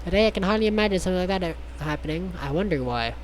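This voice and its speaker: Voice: mid-range voice, animated, rapid-fire, poor audio. Casual game streamer, mid-range voice, reads aloud clumsily with sarcastic asides, faint noise behind. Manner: stumbles over read text, sarcastic asides, swears. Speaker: streamer reading a visual novel aloud. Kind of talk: casual playthrough, reading game text aloud with joking asides.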